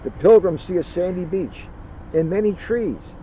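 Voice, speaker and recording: Muffled, bright-voiced male storyteller outdoors. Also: sits high, normal speed. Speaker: police officer reading a children's book. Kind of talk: read-aloud storytelling with casual asides. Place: outdoors at a turkey farm. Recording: muffled outdoor recording, faint vehicle noise.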